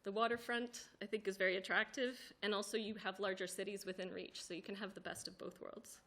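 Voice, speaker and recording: A woman's voice: mid-range voice, flat delivery, fairly quick, fairly bright tone. A woman speaking to a committee hearing, listing things in an upbeat, enthusiastic way. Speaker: committee witness. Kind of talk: formal testimony.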